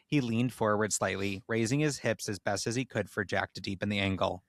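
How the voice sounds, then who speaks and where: A man's voice: on the low side, a little fast, no echo, clean studio sound. A man co-hosting a comedy podcast, reading a story aloud in dramatic narration. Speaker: podcast co-host. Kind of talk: comedic dramatic reading of erotic fan fiction.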